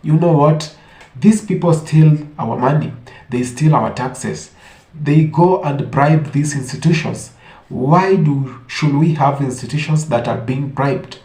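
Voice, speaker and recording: A man's voice: fairly high pitch, measured pace, darkish tone. A man giving opinionated commentary, speaking emphatically and posing rhetorical questions. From Africa. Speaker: online commentator. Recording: echoey room.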